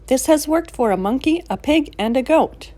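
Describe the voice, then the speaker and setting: An earnest storybook veterinarian, put on by a woman, dispensing odd remedies. The voice sits high and sounds urgent and emphatic, recorded dry. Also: lively intonation, moderate pace, warm tone, dry recording. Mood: alarmed, instructing. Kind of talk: children's storybook character voice.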